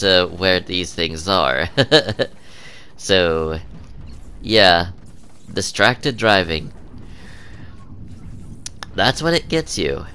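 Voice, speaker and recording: A man giving casual gameplay commentary, halting with many ums and uhs, sniffly from a cold. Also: on the low side, bright, forceful tone, a little hiss or hum. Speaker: gaming channel host.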